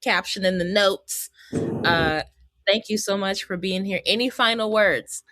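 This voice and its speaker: A woman's voice: medium pitch, unhurried, fairly bright tone, dry recording. An American woman hosting a podcast in a chatty, informal way, speaking straight to her listeners. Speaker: podcast host. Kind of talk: casual podcast hosting and interviewing.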